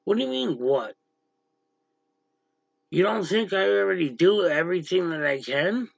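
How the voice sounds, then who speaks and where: Gravelly man snapping at his livestream chat. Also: high voice, expressive, unhurried, no room sound, dull audio. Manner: blunt, irritated, snaps at chatters. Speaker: livestream host. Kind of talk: casual livestream chat reading viewer comments. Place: dim room at home, power out.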